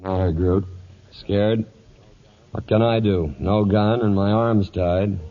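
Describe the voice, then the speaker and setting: An actor playing a stubborn, domineering trail boss in a 1940s radio western, terse and menacing. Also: male voice, fairly low pitch, fairly even intonation, slow. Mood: bitter. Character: hard, stubborn, domineering cattle drive boss. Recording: dull old radio broadcast with audience.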